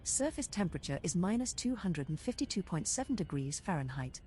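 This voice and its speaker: A woman voicing a composed spaceship computer, reporting status in a measured, precise, matter-of-fact way. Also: lowish voice, very animated, warm tone, a little hiss or hum. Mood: composed, informative. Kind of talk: scripted ship status announcements.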